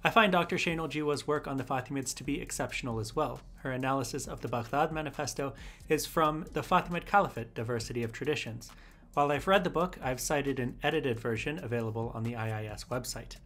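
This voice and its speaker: A man narrates a written essay in a mid-pitched voice, reading from a script. Faint instrumental music plays behind him. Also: fast talker, fairly bright tone.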